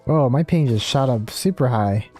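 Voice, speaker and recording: A man's voice: medium pitch, lively intonation, moderate pace, dark tone, faint music behind. A man giving casual live commentary as he streams a video game.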